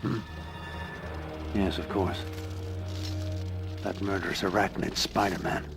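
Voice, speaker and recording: An actor playing a grandiose middle-aged scientist turned vengeful villain in a video game cutscene, theatrical and brooding, as if talking to himself. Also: male voice, low voice, steady tone, slow pace, creaky, over loud music, heavily acted.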